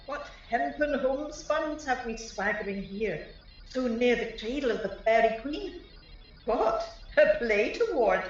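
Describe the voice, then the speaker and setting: A woman's voice: a little high, animated, a little slow. A woman playing a mocking, mischievous fairy sprite, sly and scornful, with a heavy echo in a Shakespeare radio play. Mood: sly mockery. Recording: distant, echoing, muffled with light noise.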